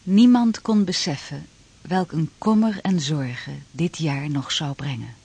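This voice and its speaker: A man's voice: a little high, a little slow, gravelly, a little mellow, a little hiss or hum. A man narrating a radio drama from a script, telling the story in a dramatic style.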